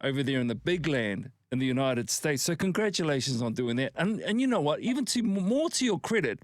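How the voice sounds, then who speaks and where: A man's voice: on the high side, big pitch swings, a little fast, no room sound, studio quality. A middle-aged man from New Zealand hosting a radio interview, chummy and teasing in an upbeat manner. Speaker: radio host. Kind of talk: friendly casual interview chat.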